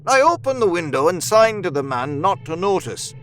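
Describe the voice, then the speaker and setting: High-voiced Victorian asylum doctor. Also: male voice, big pitch swings, a bit bright, soft background music. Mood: measured. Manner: formal, measured storytelling. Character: earnest male Victorian asylum doctor reporting by letter. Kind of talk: audio drama letter narration.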